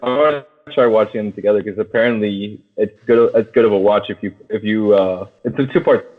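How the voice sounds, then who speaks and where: A man's voice: fairly low pitch, brisk. A young man co-hosting a podcast, chatting casually about film with enthusiastic, slangy, profane talk. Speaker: podcast co-host. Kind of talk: casual film discussion among friends. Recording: muffled phone-like radio sound, long reverb.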